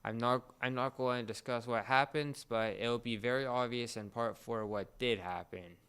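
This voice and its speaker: A man with a clean, smooth voice at a middling pitch talks casually as a co-host. He explains things step by step, often restarting and repeating a phrase as he goes. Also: steady tone, moderate pace, a bit bright. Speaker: podcast co-host explaining a series.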